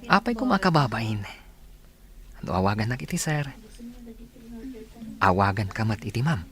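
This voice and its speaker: A man's voice: on the low side, sing-song, normal speed, fairly bright tone. A man acting a generous, playful suitor who flirts and teases in a radio comedy drama. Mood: teasing.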